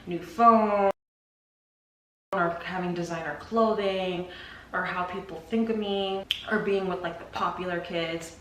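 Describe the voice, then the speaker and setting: A woman's voice: lowish voice, measured pace. Woman in her twenties, casual chatty vlog, slangy, playful acted asides, distant roomy sound, faint noise. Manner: animated, dramatizing her own thoughts in little acted asides; informal, slangy, candid. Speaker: vlogger. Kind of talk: casual chit-chat to camera. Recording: roomy echo, off-mic, faint background noise.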